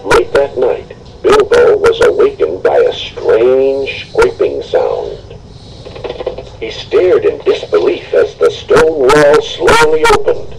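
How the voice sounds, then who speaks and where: A man narrating a children's storybook in a theatrical, expressive way. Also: mid-range voice, takes it slowly, vocal fry, heavy background noise. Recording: music behind the voice.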